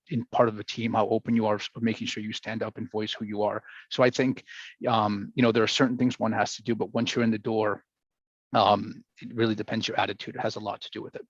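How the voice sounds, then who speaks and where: A man's voice: lowish voice, gravelly, slightly rough, no echo, highs cut off. A man from Brazil speaking as a finance panelist on a video call, earnest and full of restarts and fillers.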